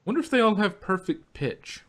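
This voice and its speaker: A man's voice: high-pitched, big pitch swings, normal speed, a bit bright. Rambling man in casual review chat. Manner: rambling, restarts often, laughs easily. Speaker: podcast co-host.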